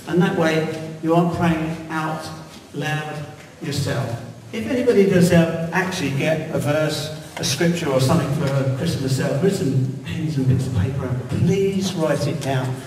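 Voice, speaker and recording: Middle-aged male church leader, easygoing and rambling, echoey far-off hall sound. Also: a little high. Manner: relaxed, rambling, encouraging. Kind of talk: leading prayer at a church meeting. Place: large church hall indoors. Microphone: handheld mic, filmed from far back. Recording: echoey hall audio from far off, faint hiss.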